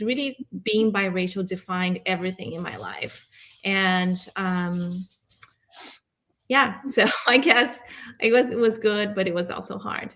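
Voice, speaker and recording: A woman's voice: mid-range voice, a bit bright. A woman in her forties from Brazil with a Brazilian accent, answering interview questions in a candid, reflective, conversational way. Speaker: interview guest, arts nonprofit director.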